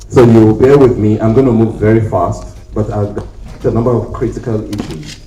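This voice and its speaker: A man's voice: on the low side, steady tone, normal speed, mellow, a little hiss or hum. A man giving a conference talk in a hotel hall, telling jokey anecdotes and acting out the people in them. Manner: jokey storytelling, acting out quoted voices. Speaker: conference speaker. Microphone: handheld mic, later set down. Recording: echoey hall, speaker far from mic.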